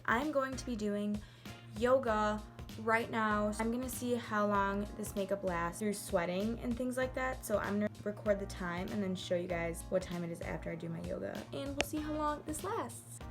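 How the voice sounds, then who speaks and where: Chatty woman rambling through a makeup tutorial. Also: fairly high pitch. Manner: chatty and rambling, laughs at herself. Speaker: beauty vlogger.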